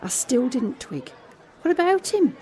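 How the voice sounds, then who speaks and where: A woman's voice: sits high, very animated, unhurried, a little mellow, some chatter behind. An actress playing a guarded, tender young woman, telling her story conversationally in a first-person audio drama monologue. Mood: puzzled, wary. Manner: confiding, conversational storytelling that re-enacts dialogue. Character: Alex, young woman, guarded, frank, tender daughter confiding a secret.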